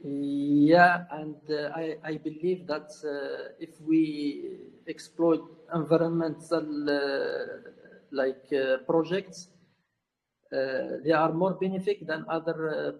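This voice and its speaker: A man from Morocco, speaking English as a second language with many pauses and fillers, as a plantation founder in an online AMA. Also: a little high, gravelly, darkish tone, rough recording. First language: not English. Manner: halting, many fillers and restarts.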